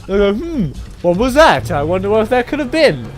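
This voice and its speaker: A young man giving a casual, rambling and opinionated video game review, animated and informal. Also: high voice, moderate pace. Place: voice-over on gameplay footage. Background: game music.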